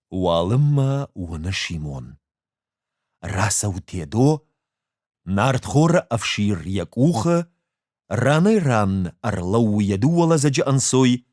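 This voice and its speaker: A man reading a short story aloud as an expressive literary narrator. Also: on the low side, no echo, studio quality.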